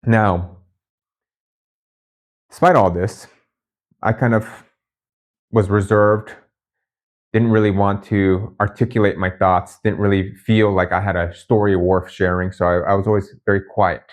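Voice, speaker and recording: Low-voiced man telling his story candidly. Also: flat delivery. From raised in Japan by Brazilian parents. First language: Japanese. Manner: reflective, candid, with frequent restarts and pauses. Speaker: channel host. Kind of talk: personal storytelling to camera.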